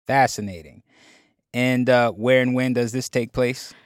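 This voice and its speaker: A man's voice: mid-range voice, steady tone, unhurried, smooth, dry recording, clean studio sound. A man hosting a radio podcast, with an easygoing, jokey manner. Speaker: podcast host. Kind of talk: casual radio chat.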